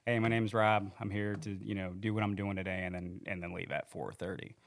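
Guest man, lowish gravelly voice, earnest rambling podcast talk. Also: fairly even intonation, brisk. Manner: rambling, self-correcting, earnest. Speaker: training manager. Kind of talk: casual podcast interview. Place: podcast studio. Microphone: handheld studio mic.

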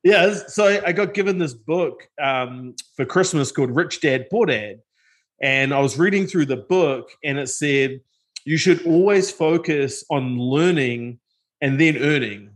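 A middle-aged man from New Zealand with a New Zealand accent, telling his story as a guest in a casual interview, relaxed and self-deprecating. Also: fairly high pitch, unhurried. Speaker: guest, tech company co-founder.

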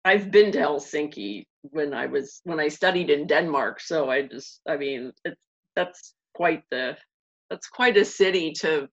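A middle-aged woman from the United States hosting an interview, chatty and loose, full of "you know" and "like", and quick to laugh. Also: deep voice. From Pittsburgh area, United States. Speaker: interviewer, host. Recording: dull, muffled lo-fi line.

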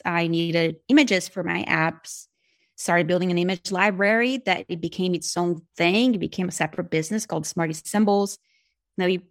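This is a middle-aged woman from Brazil who speaks English with a clear Brazilian Portuguese accent, Portuguese being her first language. Her voice sits on the low side. She is chatty and animated, telling long stories in a relaxed podcast interview. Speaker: guest, speech-language pathologist and app developer.

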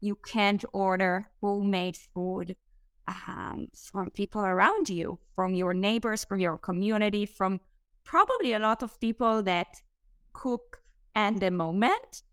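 A woman's voice: medium pitch, a bit bright, clear, resonant tone, no echo, clean studio sound. A woman from Israel with an Israeli accent, answering interview questions as a startup co-founder, with frequent "um" fillers. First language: Hebrew. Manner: fluent but halting, many "um" fillers.

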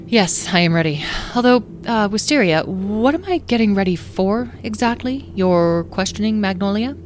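A woman voicing a gentle, hesitant mother of six who asks uncertain questions, over soft music. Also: mid-range voice, expressive, normal speed, pitched above the performer's own voice, close to an everyday voice. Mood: unsure.

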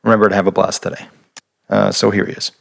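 A man's voice: fairly low pitch, level tone, fast talker, vocal fry, fairly bright tone. A man hosting a podcast, chatting casually and eagerly, often restarting and repeating his words. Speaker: podcast host. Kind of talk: casual interview chat.

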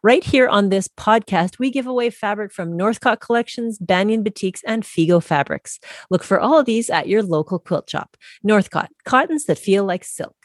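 An enthusiastic woman hosts a podcast, moving easily between questions for her guest and sponsor reads. Her mid-pitched voice sounds close and dry, with no room around it. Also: lively intonation, moderate pace, hardly any pauses, no room sound. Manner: upbeat and enthusiastic. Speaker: podcast host.

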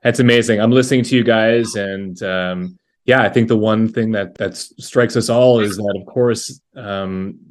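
A man hosting a discussion, speaking in a conversational, halting and reflective way. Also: fairly low pitch, steady tone. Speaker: discussion host. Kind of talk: conversational interviewing.

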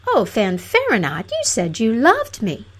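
A woman's voice: fairly high pitch, wildly swooping pitch, unhurried, voice pushed up, exaggerated voice. A woman voicing a sweet young fairy tale princess, plaintive, tender and pleading. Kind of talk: fairy tale storybook character.

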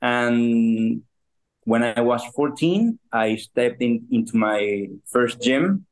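A man in his thirties from Ecuador, a guest on a podcast who speaks with frequent pauses and restarts. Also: medium pitch, poor audio. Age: 30s. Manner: halting, with fillers and restarts. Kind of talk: casual interview.

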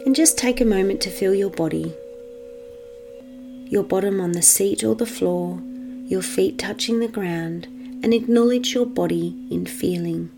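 A woman leading a guided meditation for children in a gentle, measured manner with long pauses. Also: medium pitch, background noise.

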